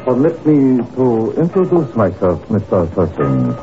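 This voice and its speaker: A man's voice: medium pitch, huge pitch swings, unhurried. A man playing a suave Indian rajah in an old radio drama, courtly and formal, with veiled menace. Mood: smooth, guarded. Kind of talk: 1950s radio drama acting. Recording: muffled old radio recording, faint music.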